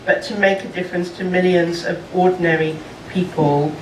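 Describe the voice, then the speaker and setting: A woman diplomat speaking at a panel discussion in a measured, hesitant way, with many pauses and fillers. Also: on the low side, steady tone. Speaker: diplomat at a national mission. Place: large conference room. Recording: echoey room from far off, faint noise.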